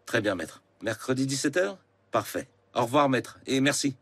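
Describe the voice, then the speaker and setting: A man voicing a calm, decisive father in a dubbed cartoon, speaking in a measured, matter-of-fact way. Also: mid-range voice, breathy edge, dry recording. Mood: decided. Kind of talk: cartoon dubbing. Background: music.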